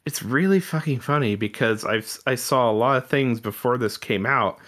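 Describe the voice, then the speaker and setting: A man's voice: mid-range voice, normal speed, fairly bright tone. A man co-hosting a podcast, chatting about film and TV in an informal, rambling way with casual swearing. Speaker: podcast host.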